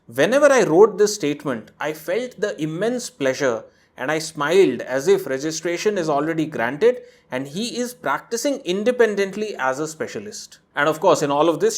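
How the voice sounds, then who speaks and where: A man with a fairly high voice reads a viewer's letter aloud, clearly and earnestly. He stops now and then to add his own comments before he goes back to the text. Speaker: host reading a viewer's letter. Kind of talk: narrated reading with commentary.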